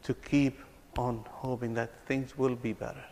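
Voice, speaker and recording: A man's voice: medium pitch, level tone, a little slow, mellow. A man from Palestine, telling stories earnestly to an audience and often repeating his words. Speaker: ministry speaker on reconciliation. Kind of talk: speech to an audience. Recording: long hall reverb.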